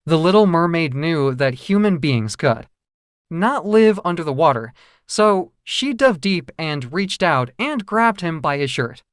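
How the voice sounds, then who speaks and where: A storyteller reads a children's fairy tale from a script. The voice moves up and down in a lively, expressive way. The sound is dry, with no room around it. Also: medium pitch, no echo.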